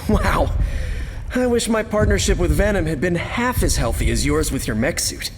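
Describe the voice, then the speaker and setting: A man's voice: high-pitched, animated, moderate pace, in a large hall, noisy background. A man voicing an anxious, wisecracking young superhero in a video game, chatty, sarcastic and self-deprecating. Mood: nervous, joking. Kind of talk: video game character acting.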